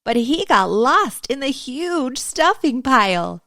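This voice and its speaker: High-pitched, animated woman reading a children's story. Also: dry recording, clean studio sound. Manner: expressive, deliberate storytelling to young children. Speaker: storytime host and narrator. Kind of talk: children's picture-book read-aloud.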